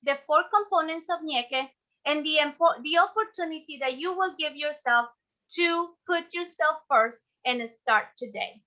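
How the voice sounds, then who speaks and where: Bright, high-voiced Ecuadorian-accented woman presenting. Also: normal speed. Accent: Ecuadorian Spanish. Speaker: speaker, business coach and class host. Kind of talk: motivational online class presentation. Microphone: video call. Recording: dull, lo-fi online call audio.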